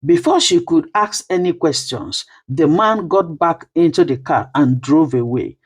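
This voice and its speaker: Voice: mid-range voice, unhurried, slightly rough. A storyteller reading a dramatic scripted folktale narration.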